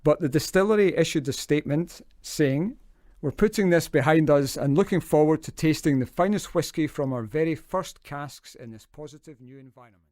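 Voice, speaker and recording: An actor playing a formal radio newsreader in an audio drama, reporting in a scripted bulletin style. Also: male voice, a little high, moderate pace, darkish tone, no room sound, studio quality, lightly acted. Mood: formal, composed. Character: formal male radio newsreader, easily flustered. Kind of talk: news reading in audio drama.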